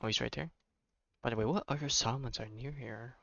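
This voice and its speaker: A man giving casual, low-key live commentary as he streams a game, mumbling asides. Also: quick pace, gravelly, a bit bright, a little hiss or hum. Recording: dull lo-fi streaming audio.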